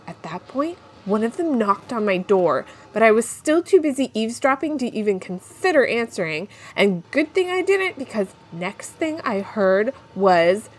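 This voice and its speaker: A woman's voice: fairly high pitch, very animated, normal speed, few pauses, rough recording. A woman playing a frantic, chatty young woman on the run in an audio drama, with a rambling, confiding manner. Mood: nervous, chatty. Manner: rambling, informal, breathless asides to listeners. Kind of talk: first-person audio drama, confiding podcast monologue.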